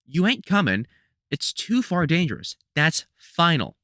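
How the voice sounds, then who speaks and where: A man's voice: a little high, huge pitch swings, measured pace, bright, forceful tone, no echo, clean studio sound, full character voice. A man playing a protective, sardonic veteran vampire hunter in an audio roleplay, scolding fondly in colloquial speech. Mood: stern, exasperated. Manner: colloquial, sardonic, scolding mentor with mild swearing. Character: gruff, protective veteran male vampire hunter, exasperated but fond. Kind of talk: scripted audio roleplay, one-sided conversation.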